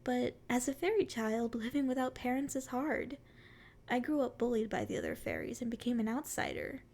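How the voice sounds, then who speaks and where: Casting: female performer as a sweet, playful forest fairy for ASMR roleplay, very high voice with a creaky edge, teasing and curious. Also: sing-song, moderate pace, a little mellow. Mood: wistful. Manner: sweet, flirty, teasing endearments. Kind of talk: ASMR roleplay, addressing the listener.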